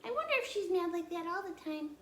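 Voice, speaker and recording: A woman's voice: extremely high pitch, sing-song, fast talker, fairly bright tone, slight background noise, voice pushed up, exaggerated voice. A woman voicing an eager little girl puppet who chirps along during story time. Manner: eager, chirpy, begs for toast. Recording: roomy echo.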